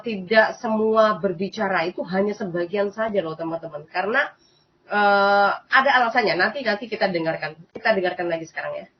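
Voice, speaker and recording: Female host, casual chatty commentary, keeps addressing listeners, muffled recording. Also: mid-range voice. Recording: dull lo-fi sound.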